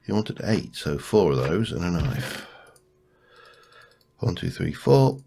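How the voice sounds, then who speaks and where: A man's voice: low voice, sing-song, slow pace, creaky, mellow, slightly rough. A man with a congested, cold-stuffed voice, thinking aloud as he hosts a casual gameplay commentary. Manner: thinks aloud, muttering to himself. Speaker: let's play host.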